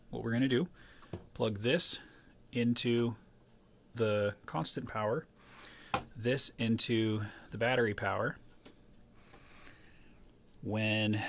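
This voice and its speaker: A man's voice: on the low side, fairly bright tone. A man giving a casual, unscripted hands-on DIY tutorial in an explanatory way, recorded indoors on a handheld camera. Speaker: DIY tinkerer presenting his own modification. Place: indoors at a wooden table.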